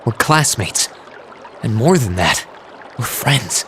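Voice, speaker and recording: An actor voicing an earnest, self-doubting teenage student in a video game, speaking sincerely and reflectively. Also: male voice, medium pitch, expressive, slow, fairly bright tone, soft background music. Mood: resolved, hopeful. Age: young adult. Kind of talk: video game cutscene voice acting. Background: trickling water ambience.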